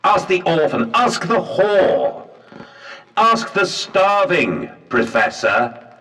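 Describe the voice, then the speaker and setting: Zealous, lightly high-pitched British gentleman. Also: big pitch swings, takes it slowly, exaggerated voice. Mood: righteous indignation. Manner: impassioned, oratorical, precise. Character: fanatical Victorian industrialist, eloquent, righteous, zealous. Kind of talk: theatrical period drama dialogue in a horror game. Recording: distant phonograph-style conversation recording.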